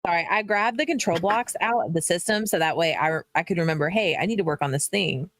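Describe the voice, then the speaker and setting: A woman co-hosting a game stream tosses out quick, offhand answers. Her voice has a gravelly, fry-laden edge and moves at a rapid clip. It is recorded dry with no room tone. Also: lowish voice, fairly bright tone, no room sound. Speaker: co-host. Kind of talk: casual gameplay chat.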